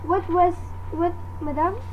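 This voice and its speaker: A woman playing a polite, unhurried, formal hospital receptionist and operator in a radio drama. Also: very high voice, fairly even intonation. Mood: mildly puzzled. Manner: formal, patient, officious. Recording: dull, slightly noisy recording.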